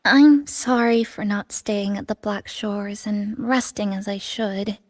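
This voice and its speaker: A woman playing a gentle, reflective young woman in a video game, soft-spoken, measured and wistful. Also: mid-range voice, steady tone, big-room sound, natural voice. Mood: apologetic, earnest. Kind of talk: scripted video game character dialogue.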